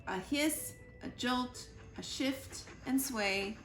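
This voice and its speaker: A woman reading a children's picture book aloud in an expressive storytime style, with deliberate, playful emphasis on the rhyming words. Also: high-pitched. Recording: roomy echo with music behind. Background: music.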